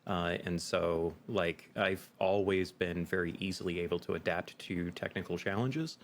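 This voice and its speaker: Male podcast guest, very low register, reflective and halting, with some faint background noise. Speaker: podcast guest, actual-play game master. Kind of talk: casual interview conversation.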